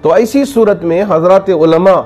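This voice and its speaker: A man, a cleric answering questions in a formal, measured and earnest way. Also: sits high, darkish tone.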